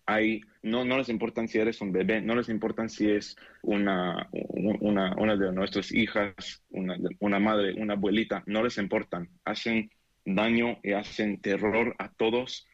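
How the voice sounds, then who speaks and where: Deep-voiced young Israeli man, dull audio. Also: flat delivery, no room sound. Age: 20s. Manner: halting non-native speech, searching for words. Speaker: interviewee giving an eyewitness account. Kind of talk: unscripted interview. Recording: dull, band-limited remote call audio.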